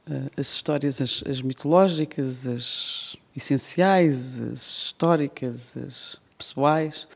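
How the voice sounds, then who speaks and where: A woman's voice: very deep voice, darkish tone. Woman, guest writer, reflective and playful, speaks on stage. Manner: thoughtful, playful, laughs often. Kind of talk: reflective conversation. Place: cultural centre stage before an audience.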